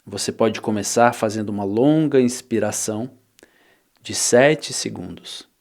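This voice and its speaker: A Brazilian man narrating an ASMR guided visualization, calm and instructing, with long pauses between phrases. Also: fairly low pitch, vocal fry. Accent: Brazilian Portuguese. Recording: long reverberant hall-like echo.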